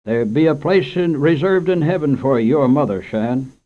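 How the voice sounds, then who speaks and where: A man's voice: a little high, moderate pace, pitched above the performer's own voice, natural voice. A man playing a kindly old country storekeeper. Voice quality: folksy rural speech. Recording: muffled old radio recording.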